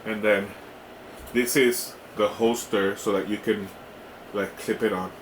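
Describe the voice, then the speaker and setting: Male product reviewer, fairly low voice, informal and halting unboxing delivery, recorded with clear background noise. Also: fairly even intonation, echoey room, low-quality recording. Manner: halting, often checking in with "right?". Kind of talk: casual hands-on unboxing demonstration.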